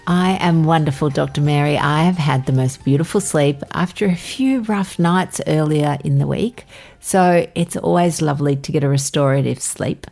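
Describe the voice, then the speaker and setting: A low-pitched woman with an Australian accent, bantering on a podcast. Her manner is upbeat and chatty as she trades stories with her co-host. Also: normal speed, vocal fry, a little mellow. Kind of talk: casual podcast chat.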